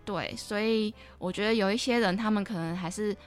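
A woman's voice: mid-range voice, fairly quick, soft background music. A woman with a Taiwanese Mandarin accent hosting a conversational podcast interview. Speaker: podcast host.

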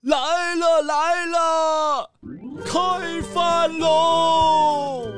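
A man's voice: extremely high pitch, flat delivery, extremely slow, over music, heavily acted. An actor playing an effusive, theatrical male animal character in a children's audio drama. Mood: jolly.

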